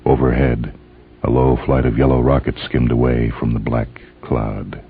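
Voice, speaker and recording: A man's voice: extremely low pitch, level tone, moderate pace, gravelly, breathy edge. A man narrating a scripted dramatic story. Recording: muffled phone-like band, faint music behind.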